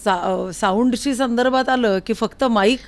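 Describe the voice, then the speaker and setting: A woman's voice: a little high, unhurried. A woman hosting a casual interview, chatting in an encouraging, exclaiming way. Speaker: interviewer, host.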